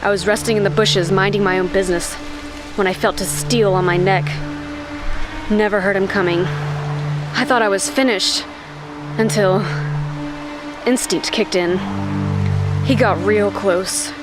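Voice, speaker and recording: Lady acting a cocky deadpan wasteland survivor telling her tale, film drama, loud music behind her. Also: mid-range voice, a little slow, long pauses, natural voice. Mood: cocky, dramatic. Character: cocky female wasteland wanderer telling a suggestive tall tale. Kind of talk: film dialogue, storytelling. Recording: loud music over the dialogue.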